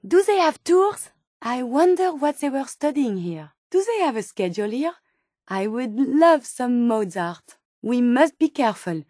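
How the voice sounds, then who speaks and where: An actress playing an earnest, curious French robot medical companion in a video game, speaking precisely and politely with a French accent. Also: female voice, high voice, sing-song, a little slow, a little mellow, no echo, clean studio sound, full character voice. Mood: eager, inquisitive. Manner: precise, polite, wide-eyed, exclamatory.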